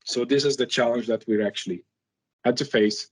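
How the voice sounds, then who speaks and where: A man's voice: medium pitch, steady tone, brisk, husky, poor audio. A man who is a non-native English speaker gives a conversational technical presentation, informally, posing rhetorical questions as he explains. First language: not English.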